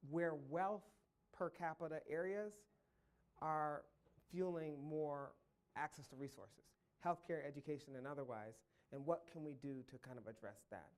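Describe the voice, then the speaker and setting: Measured professor thinking aloud. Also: male voice, a little high, darkish tone. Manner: measured, thinking aloud in long careful sentences. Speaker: award-winning professor answering audience questions. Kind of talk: public lecture Q&A.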